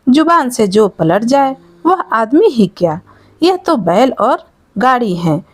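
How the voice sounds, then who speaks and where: A woman's voice: a little high, sing-song, slow pace, no echo, clean studio sound, exaggerated voice. A woman storyteller voicing a cunning, bullying male merchant who scolds and lectures in a moral tale. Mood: preachy. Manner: scolding, lecturing, self-righteous.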